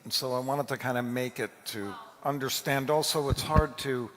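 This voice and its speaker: A man's voice: mid-range voice, moderate pace, fairly bright tone. Man speaking in a reverberant hall. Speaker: rabbi leading a congregation. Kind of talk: informal address to a congregation, taking questions. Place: large synagogue sanctuary. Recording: echoey hall with long reverb.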